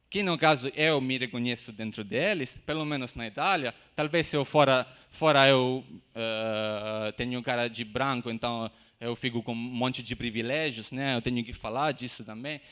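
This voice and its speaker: A man from Sicily with a Sicilian Italian accent, lecturing in an academic talk. He pauses and restarts often and tags his phrases with questions. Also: mid-range voice, a bit bright. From Sicily, Italy. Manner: halting, many "né?" tags and restarts. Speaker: university lecturer. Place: university lecture room.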